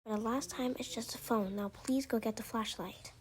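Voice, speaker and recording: A woman's voice: a little high, fairly even intonation, moderate pace, lightly acted. A woman voicing a soothing but easily exasperated mother in an amateur roleplay story.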